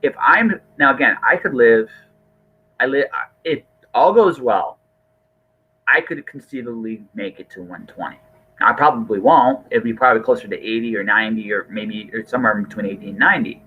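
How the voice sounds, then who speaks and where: A man's voice: mid-range voice, brisk, husky, phone-quality sound. A man talking casually as a podcast host, earnest and rambling, often restarting his phrases.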